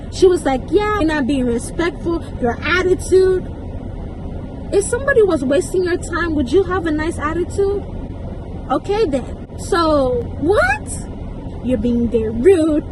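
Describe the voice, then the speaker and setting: A woman's voice: high voice, background noise. A young adult woman tells a casual storytime to viewers in an exasperated, emphatic way, repeating words and firing off rhetorical questions. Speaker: storytime vlogger.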